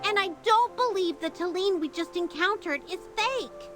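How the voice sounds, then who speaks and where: A woman's voice: very high voice, animated, moderate pace, fairly bright tone, faint music behind. A woman voicing a small, earnest creature sidekick in a scripted game story, speaking of themself in the third person. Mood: insistent.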